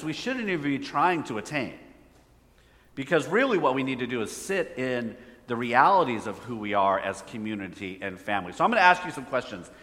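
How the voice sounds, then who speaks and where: Bright-voiced preacher in an echoey hall. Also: male voice, medium pitch. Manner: conversational, asks the audience questions. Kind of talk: sermon. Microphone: phone hotspot for slides.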